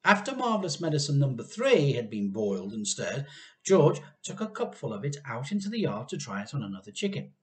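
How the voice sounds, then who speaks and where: A middle-aged man narrating a children's storybook aloud in an expressive style. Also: mid-range voice, a little fast. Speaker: teacher reading a storybook aloud. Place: home living room.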